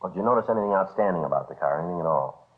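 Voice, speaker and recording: An actor playing a businesslike, polite police detective in a 1950s radio crime drama, speaking in a clipped, matter-of-fact way. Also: male voice, low voice, animated, very fast. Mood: polite, probing. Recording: noisy, narrow-band old radio recording.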